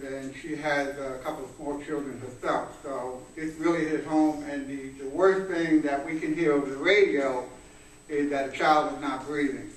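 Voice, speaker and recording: A man giving a public commendation as head of a paramedic service, earnest, explanatory and a little halting. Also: fairly high pitch. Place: indoor public meeting room. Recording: echoey room, distant mic, faint noise.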